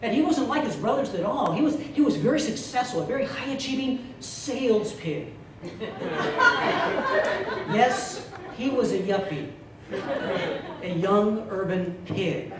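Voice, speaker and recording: Male contest speaker, humorous tall-tale delivery, lively and theatrical, recorded from afar in a very echoey room. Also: sits high, over a noisy crowd. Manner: theatrical, with drawn-out punchlines. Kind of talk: humorous storytelling speech to a live club audience. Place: meeting room before an audience. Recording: echoey room from far off, loud noise.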